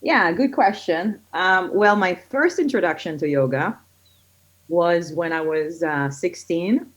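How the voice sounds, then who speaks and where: A woman's voice: on the low side, takes it slowly. A middle-aged woman from Israel, a guest who runs a kids yoga studio, chatting casually with many fillers. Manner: chatty, many fillers, gets excited when telling stories. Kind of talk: casual podcast interview. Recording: dull lo-fi audio.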